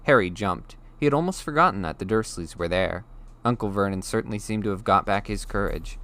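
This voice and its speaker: Low-pitched man reading a story. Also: lively intonation, fairly quick, bright, forceful tone. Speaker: audiobook narrator. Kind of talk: scripted story narration.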